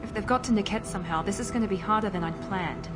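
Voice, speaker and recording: A cool, guarded female operative, played by a woman who speaks in crisp, controlled sentences. Her voice sits in a middle range, with clear game music under the scene. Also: mid-range voice, fast talker, close to an everyday voice. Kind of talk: video game cutscene dialogue. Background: game score music.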